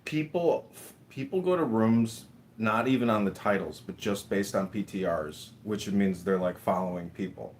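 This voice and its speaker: Deeper-voiced guy going off on tangents, cursing a lot, slight background noise. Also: moderate pace. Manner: rambling, swears, laughs between points. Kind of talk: casual conversation.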